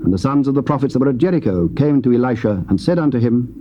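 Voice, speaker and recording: A man's voice: mid-range voice, lively intonation, fast talker. A man with a British accent narrating dramatized scripture in formal, solemn archaic English. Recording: dull, noisy old cassette tape.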